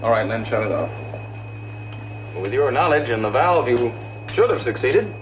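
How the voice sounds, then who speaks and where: A man acts a self-assured, indignant scientist in a 1950s film. His delivery is brisk and challenging with animated pitch. The sound is muffled, with faint noise. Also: lightly acted. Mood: guarded, businesslike.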